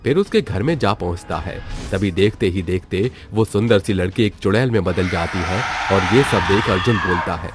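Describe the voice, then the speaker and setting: Guy reading a scripted story, pitch moves around a lot, soft music behind him. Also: animated, moderate pace, few pauses.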